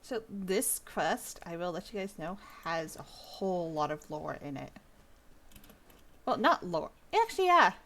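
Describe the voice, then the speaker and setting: A woman's voice: fairly low pitch, sing-song, unhurried, a bit bright. A woman streaming a game with casual live commentary, rambling and thinking aloud.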